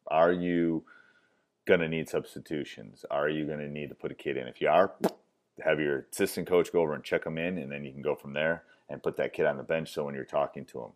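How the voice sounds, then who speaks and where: A man with a loud voice teaching basketball coaching tips, emphatic and repetitive, with frequent "um"s. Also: extremely low pitch, fairly even intonation, a little fast. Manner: emphatic, repeats key points, many fillers. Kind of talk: coaching clinic talk.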